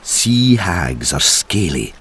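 A man narrating a dark verse tale as a storyteller, theatrical, deliberate and ominous, with a husky deep voice. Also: big pitch swings. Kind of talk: dramatic scripted storytelling in verse.

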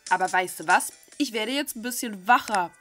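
A woman's voice: medium pitch, moderate pace, bright, forceful tone. A middle-aged German woman giving chatty, candid, coarse-mouthed commentary on a game and reading its text aloud into a desk microphone. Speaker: gaming video host. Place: indoors, small home recording setup. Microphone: desk microphone close to the mouth. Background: game music.

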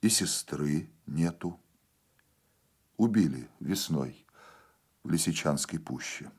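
Guy doing a stern partisan in a war audiobook, deep restrained voice, few words, guarded. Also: lively intonation, slow pace, a little mellow, slightly rough, heavily acted. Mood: subdued. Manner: terse, restrained. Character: stern, guarded wartime partisan man, terse and determined.

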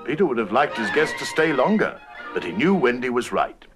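A man's voice: mid-range voice, very animated, fairly quick, music in the background. A man reading a scripted children's story as narrator of a storybook record.

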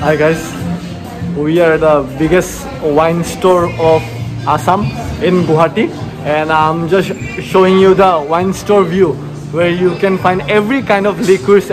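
A young man with an Indian accent presenting a casual vlog in an enthusiastic, repetitive way. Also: high-pitched. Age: young adult. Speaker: vlog host. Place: inside a large retail store. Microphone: handheld camera at arm's length. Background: music.

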